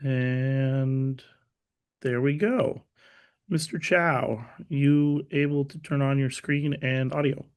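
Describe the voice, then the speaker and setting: A man running the logistics of an online meeting, speaking briskly and procedurally. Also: mid-range voice, steady tone, smooth. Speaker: meeting staff running the call. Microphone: video call.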